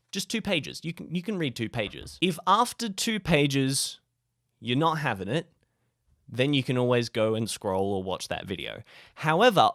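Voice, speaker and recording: A man's voice: mid-range voice, a bit bright, no echo, clean studio sound. A man giving casual advice to camera in a playful, self-deprecating way with comic asides. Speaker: YouTube creator sharing tips.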